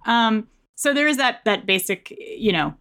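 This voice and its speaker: A woman's voice: fairly high pitch, lively intonation, measured pace, fairly bright tone. A woman answering interview questions as an author, explaining things in an articulate, candid and informal way. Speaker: author and science journalist being interviewed.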